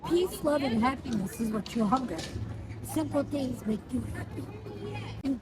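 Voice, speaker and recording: A woman's voice: high-pitched, expressive, moderate pace, loud music behind the voice, over a noisy crowd. A woman in her fifties working as a psychic, giving readings in short, declarative statements outdoors. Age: 50s. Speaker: psychic card reader. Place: outdoors in a public square.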